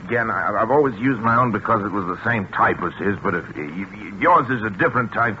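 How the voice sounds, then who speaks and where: An actor playing a desperate, middle-aged scientist in a 1940s radio thriller, stammering and overwrought. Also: male voice, on the low side, lively intonation, brisk, pushed and bright, a little hiss or hum. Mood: agitated urgency. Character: desperate, tormented male scientist and husband losing his mind. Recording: old muffled 1940s radio, music behind.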